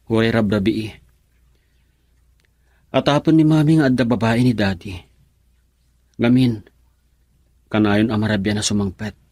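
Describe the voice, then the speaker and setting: A man's voice: on the low side, darkish tone, no room sound. A young man acting a troubled grandson, fed up with his home life, in a radio drama.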